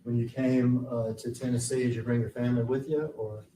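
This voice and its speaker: Male lawyer, measured questioning, mellow tone, distant roomy courtroom sound. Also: mid-range voice, flat delivery, a little hiss or hum. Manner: slow, deliberate questioning. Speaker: prosecutor. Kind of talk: courtroom direct examination. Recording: roomy courtroom audio from far off.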